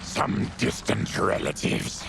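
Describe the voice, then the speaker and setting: An actor playing a gruff, honourable monster warrior in a video game, with a growling rasp and a terse, solemn way of speaking. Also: male voice, slow, background noise, natural voice. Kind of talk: video game cutscene acting. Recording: loud music behind.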